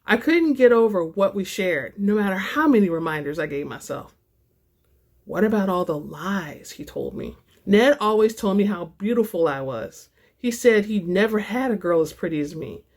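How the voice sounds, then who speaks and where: Woman reading romance out loud, mid pitched voice, kinda theatrical and expressive. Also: moderate pace. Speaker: audiobook-style reader and channel host. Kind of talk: dramatic reading of romance fiction.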